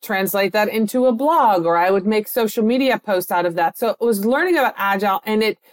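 A middle-aged woman from the Netherlands, an agency owner, talking as a guest in an interview with an animated, enthusiastic manner. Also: mid-range voice, a little fast. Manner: animated, enthusiastic, lively storytelling. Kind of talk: interview conversation.

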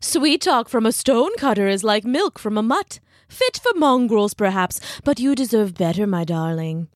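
A woman's voice: a little high, animated, normal speed, no room sound, natural voice. Pompous schoolmaster played by a female narrator, condescending and lecturing, storybook character, dry recording. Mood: smug flattery. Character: pompous, condescending male schoolteacher courting a lady.

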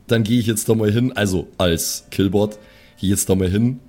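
A man's voice: lowish voice, steady tone, normal speed, a little mellow, faint music behind. A man playing in a tabletop role-playing podcast, bantering casually with the group.

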